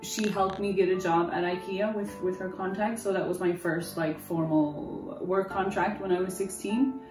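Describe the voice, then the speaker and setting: A woman's voice: lowish voice, fairly even intonation, moderate pace. American woman in her twenties, roomy and distant. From United States. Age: 20s. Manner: candid, rambling, full of restarts. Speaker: interviewee. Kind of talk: casual interview storytelling. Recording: roomy distant mic with music. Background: guitar music.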